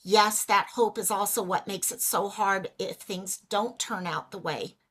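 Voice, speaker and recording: Woman doing a chatty livestream, bright voice, bit hoarse, says hi to viewers. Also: mid-range voice, fairly even intonation, a little slow. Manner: chatty, greets viewers by name. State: losing her voice. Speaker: livestream host. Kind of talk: casual livestream chat.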